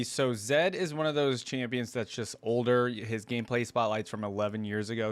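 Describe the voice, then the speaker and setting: A man's voice: fairly low pitch, dry recording. A man hosting a casual reaction video, reading aloud and commenting informally as he thinks things through.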